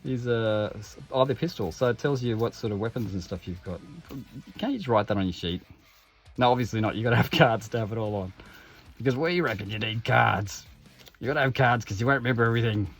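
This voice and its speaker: A man's voice: medium pitch, brisk, slightly rough, soft background music. A man hosting an unboxing video with casual, informal commentary, full of jokey asides and self-interruptions. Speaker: host of tabletop game unboxing videos.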